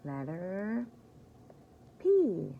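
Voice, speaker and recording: Slow, low-pitched woman reading to young children. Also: huge pitch swings, creaky, muffled recording, a little hiss or hum. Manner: simple, deliberate teaching, repeats key words. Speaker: pre-K teacher reading aloud. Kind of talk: children's story time read-aloud.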